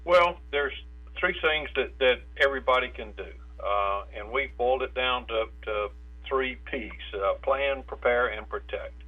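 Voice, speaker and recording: An American man speaks over a thin, tinny telephone line with a mid-range voice. He gives practical advice as an interview guest, in a halting way, often doubling back on his words. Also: mid-range voice. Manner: halting, repeats words and stumbles mid-phrase. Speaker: state insurance director, interviewed guest. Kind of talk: phone interview giving preparedness advice. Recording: tinny narrow phone line with hum.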